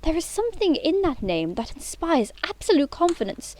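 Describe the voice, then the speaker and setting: A woman's voice: extremely high pitch, sing-song, moderate pace, a little mellow, no room sound, rough recording. A woman acting an imperious, romantic young Victorian society lady in an audio play, with precise, affected, self-assured speech. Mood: gushing, assured.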